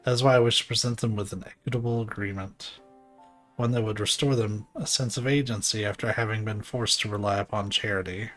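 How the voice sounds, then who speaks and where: A man voicing a courteous, dignified ruler in a video game, with formal, archaic, measured diction. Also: on the low side, steady tone, fairly bright tone, soft background music, natural voice. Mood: formal, earnest.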